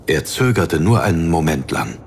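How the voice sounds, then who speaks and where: A man narrating a fantasy audiobook in a dramatic, solemn, deliberately phrased style. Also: extremely low pitch, lively intonation, slight background noise.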